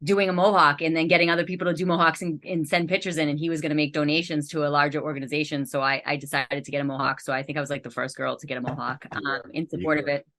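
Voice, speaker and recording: Low-voiced, bright woman, chatty guest. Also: little pitch movement, quick pace, few pauses. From Massachusetts. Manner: chatty, with frequent "like" and "um". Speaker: nonprofit co-founder guest. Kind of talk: casual interview chat.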